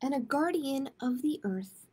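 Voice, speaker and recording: Higher-voiced woman hosting children's storytime. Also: expressive, unhurried, warm tone, slight background noise. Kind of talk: live picture-book storytime for children.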